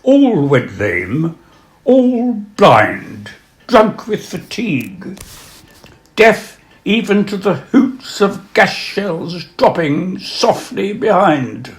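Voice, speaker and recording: A man presenting and reading literature aloud, with a measured, dramatic delivery when reciting. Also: high voice, very animated. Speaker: reader and presenter of poems and prose. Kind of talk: literary recital with spoken introductions.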